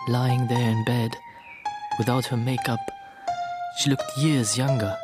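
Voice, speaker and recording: A man playing a reflective storyteller, giving deliberate literary narration in a radio drama. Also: mid-range voice, fairly even intonation, close to an everyday voice. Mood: wistful. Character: slow, reflective English-speaking storyteller. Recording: narration under loud music.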